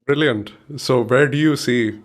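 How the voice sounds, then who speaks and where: A man's voice: medium pitch, measured pace. A man hosting a podcast, asking questions in a casual way with some fillers. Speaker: podcast host. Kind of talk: podcast interview.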